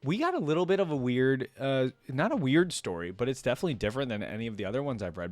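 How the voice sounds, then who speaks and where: A man's voice: medium pitch, no echo. A man hosting a reaction video, reading a story aloud and breaking in with informal, exclaiming commentary. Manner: informal, exclaiming, interjecting his own commentary.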